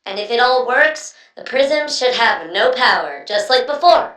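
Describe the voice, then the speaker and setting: A friendly young inventor girl played by a woman speaks in a fairly high voice, guiding players through a video game quest. She is earnest and chatty, with a slightly hesitant, casual delivery. The voice sounds echoey and a bit away from the mic. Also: lively intonation, measured pace, fairly bright tone. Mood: earnest, hopeful. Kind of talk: scripted game voice lines, conversational. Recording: roomy, echoing, distant-sounding voice.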